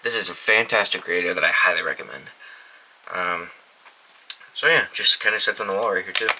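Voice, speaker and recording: A man's voice: low-pitched, fairly quick, sharp tone, poor audio. A young man casually showing off his weather radio collection, rambling and swearing freely, in an indoor room. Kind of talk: casual show-and-tell vlog. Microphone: camera mic.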